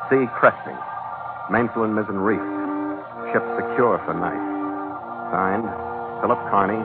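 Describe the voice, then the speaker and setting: Tough sea captain on tinny old radio. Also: male voice, lowish voice, a little slow. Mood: solemn. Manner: hard-boiled, terse. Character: tough, hot-tempered sea captain telling his adventures. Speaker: lead actor and narrator. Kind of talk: old-time radio adventure acting, first-person narration. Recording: tinny vintage radio recording, loud music.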